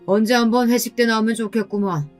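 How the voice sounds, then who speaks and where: A woman plays a middle-aged male company boss in an audio story. He is smug and presumptuous, talking down with too much familiarity, over soft music. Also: fairly high pitch, fairly even intonation, fast, pitched above the performer's own voice, natural voice.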